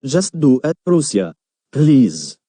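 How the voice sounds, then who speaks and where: A man's voice: medium pitch, very animated, slow, warm tone, dry recording. A man playing a despairing, war-weary national leader in an amateur audio drama, somber and defeated. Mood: pleading.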